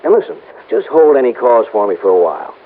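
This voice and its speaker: An actor playing an eager, busy insurance agent in 1950s radio drama, brisk and obliging. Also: male voice, medium pitch, huge pitch swings. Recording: tinny old radio recording, faint noise.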